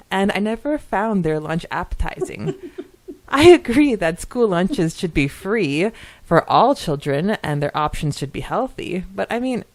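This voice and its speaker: A woman's voice: mid-range voice, no room sound. A woman co-hosting a podcast, giggly and chatty as she talks casually and reads listener comments aloud. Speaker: podcast co-host.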